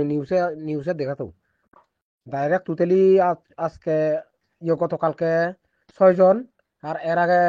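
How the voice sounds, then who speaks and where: A man's voice: a little high, a little mellow, no echo. A man with a Sylheti-influenced eastern Bengali accent explaining informally on a phone call, repeating himself and checking he is understood. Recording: dull recorded phone call.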